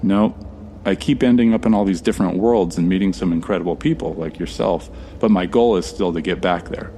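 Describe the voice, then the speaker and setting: A man's voice: low-pitched, a little fast, mellow, a little hiss or hum, close to an everyday voice. A man with an American accent playing an earnest, stranded time traveler in an audio drama. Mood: friendly, curious. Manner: conversational, earnest. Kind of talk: first-person audio drama acting.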